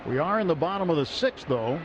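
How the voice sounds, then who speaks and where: A man calling a baseball game on the radio as play-by-play announcer, brisk and lifting with excitement on big plays. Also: on the high side. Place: ballpark broadcast booth. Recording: muffled broadcast audio with crowd noise.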